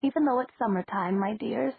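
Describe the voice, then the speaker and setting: Woman doing a gentle batty old witch. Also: fairly high pitch, creaky, slightly rough, dry recording, pitched above the performer's own voice, exaggerated voice. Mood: gentle, rambling. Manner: slow, gentle and rambling. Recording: dry, tinny phone-like sound.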